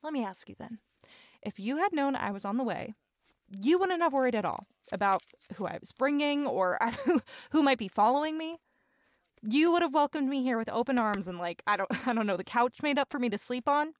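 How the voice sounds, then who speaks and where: A woman's voice: high-pitched, animated, a little fast, dry recording, studio quality. An actress playing a persistent, cagey woman intruder in an audio drama, wry and insistent with a pleading edge. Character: persistent, cagey woman intruder seeking allies, former schoolgirl. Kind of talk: naturalistic audio drama acting.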